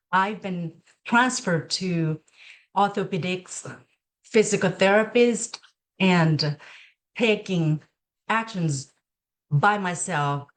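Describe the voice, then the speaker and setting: A middle-aged woman with a Thai accent talks as an interview guest in a slightly low voice. She speaks very slowly and with hesitation, pausing often and filling the gaps with soft fillers as she gathers her thoughts. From Thailand. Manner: slow and hesitant, many pauses and fillers. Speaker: guest, registered nurse. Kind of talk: conversational interview.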